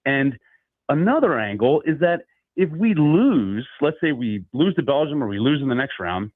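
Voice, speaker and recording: A man's voice: mid-range voice, moderate pace, no echo. A man calling in to a sports radio show and talking through his argument with frequent fillers. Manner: conversational, many fillers, steady argument. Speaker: caller. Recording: tinny phone line.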